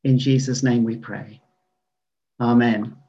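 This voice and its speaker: A man leading a reverent spoken prayer in a measured way, then handing over to the worship leader. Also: mid-range voice, flat delivery, mellow, breathy edge. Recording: dull lo-fi recording.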